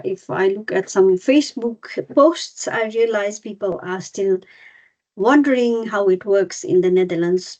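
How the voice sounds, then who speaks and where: A woman in her fifties from Nepal and India leads an online webinar. She explains things patiently, with many fillers and restarts along the way. Her voice sits at a middle pitch and comes through a slightly dull, lo-fi video call connection. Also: a little mellow. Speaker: webinar presenter and cross-cultural trainer. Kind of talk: online presentation.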